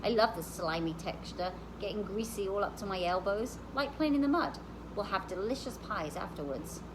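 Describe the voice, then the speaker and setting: A woman reading the part of a calm, practical, knowledgeable woman explaining things in a storybook. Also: medium pitch, normal speed, background noise, lightly acted.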